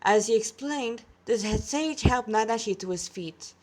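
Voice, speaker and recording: Slightly high-voiced woman reading her story. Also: unhurried, darkish tone, low-quality recording. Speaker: author reading own story. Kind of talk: story narration with casual asides.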